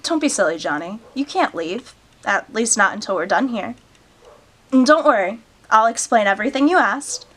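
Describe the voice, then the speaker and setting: A woman's voice: on the high side, animated, normal speed, a bit bright. A woman playing a mysterious, unflappable guardian of a magical table in a screen drama, speaking with composed, cryptic authority. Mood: calm, commanding. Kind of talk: measured screen drama acting.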